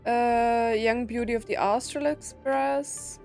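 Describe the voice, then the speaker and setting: A woman's voice: a little high, steady tone, takes it slowly, darkish tone. A woman gives casual, offhand gameplay commentary as a streamer, muttering to herself and reading choices aloud. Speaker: game streamer. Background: video game music.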